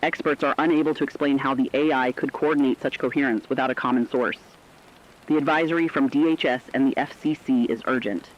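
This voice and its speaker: Dull lo-fi radio sound, mid-pitched male voice, man acting as serious drama news anchor, formal, precise. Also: fairly even intonation, fairly quick, natural voice. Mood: serious, urgent. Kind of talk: scripted radio news broadcast.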